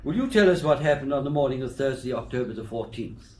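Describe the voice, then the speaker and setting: An actor playing a brisk, formal coroner with a British accent, questioning witnesses with authority in a radio drama. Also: male voice, medium pitch, animated, natural voice. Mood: formal, probing. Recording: roomy, echoing courtroom-like sound.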